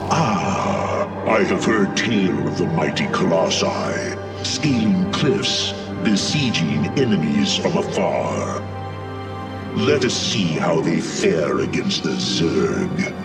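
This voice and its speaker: An actor playing a grave, resolute ancient alien warrior in a video game, speaking in a solemn, formal, gravelly voice. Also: male voice, sing-song, slow, distant mic, over loud music, exaggerated voice. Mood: intrigued. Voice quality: gravelly, deep. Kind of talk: dramatic video game acting.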